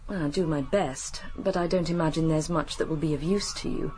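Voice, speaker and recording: An actress playing a composed, genteel, guarded British widow in a radio drama. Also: female voice, deep voice, fairly quick, darkish tone, slight background noise, natural voice. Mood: guarded, measured.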